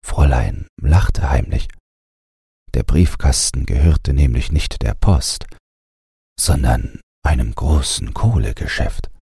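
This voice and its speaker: A man reading a scripted bedtime audiobook in a calm storytelling style. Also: a bass voice, takes it slowly, creaky, husky, no room sound, studio quality. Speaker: audiobook narrator.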